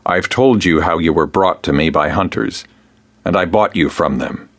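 A man reading the part of a wary, commanding king, in a low and stern manner. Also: moderate pace. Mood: guarded.

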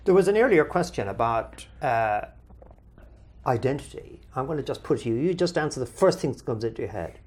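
A man from Ireland hosting a conversational discussion and answering viewer questions, with a measured, lively delivery and drawn-out emphasis. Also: medium pitch.

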